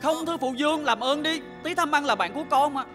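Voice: sits high, fast, over music, natural voice. An actor playing an earnest, kindly young boy prince in a Vietnamese cartoon dub, pleading and sincere.

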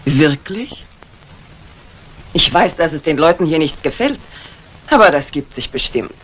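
A woman's voice: deep voice, lively intonation, measured pace, husky, exaggerated voice. An actress playing a composed, self-assured lady crime novelist in a 1950s radio drama, courteous and dismissive of nonsense.

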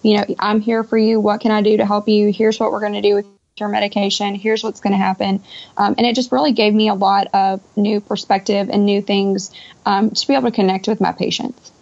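Young woman, creaky voice, lo-fi call. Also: mid-range voice, little pitch movement, fairly quick, darkish tone. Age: young adult. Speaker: podcast guest, pharmacist. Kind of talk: conversational interview answers. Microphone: Skype call. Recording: dull lo-fi internet call audio.

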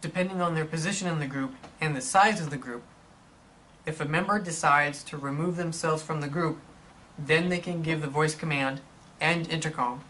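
A man reading scripted instructional narration in a measured, explanatory manner for a product tutorial. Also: fairly high pitch, fairly even intonation, bright, forceful tone, a little hiss or hum, low-quality recording.

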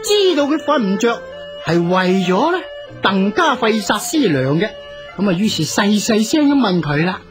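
A woman's voice: medium pitch, sing-song, normal speed. A woman narrating a radio drama as a storyteller, with Hong Kong Cantonese speech. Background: music.

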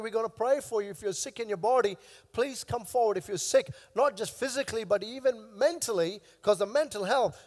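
A man in his thirties, a pastor with a hoarse, worn voice, preaching emphatically on a church stage into a headset mic, repeating key phrases. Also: extremely high pitch, in a large hall. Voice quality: coughing now and then. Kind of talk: sermon. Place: large church stage with long reverb.

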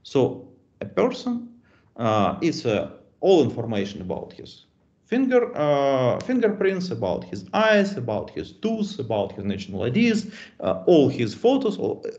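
Man giving a halting technical talk. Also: mid-range voice, a little mellow. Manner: halting, many filler sounds, non-native grammar. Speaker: meetup speaker. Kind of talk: conference talk on data modeling. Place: indoor room, video call. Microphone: computer mic on a video call. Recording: slightly dull video call audio.

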